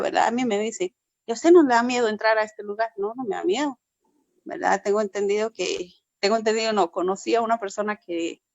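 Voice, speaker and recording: A woman's voice: mid-range voice, darkish tone, no room sound, muffled recording. Earnest Peruvian-born woman pastor. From Peru. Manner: earnest, repetitive, frequent "verdad". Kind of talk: forum testimony.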